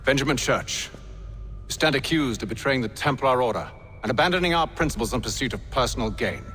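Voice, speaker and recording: An actor playing a cool, cunning, middle-aged aristocratic father in a video game, British, with formal, measured, clipped diction. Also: medium pitch, expressive, a bit bright, soft background music, lightly acted. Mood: stern. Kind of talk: video game cutscene acting.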